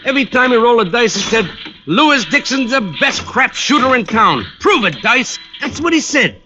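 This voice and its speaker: An actor voicing a chummy, excitable small-time gambling hustler in an old-time radio drama. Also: male voice, very high-pitched, moderate pace. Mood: chatty. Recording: muffled old radio recording, music behind.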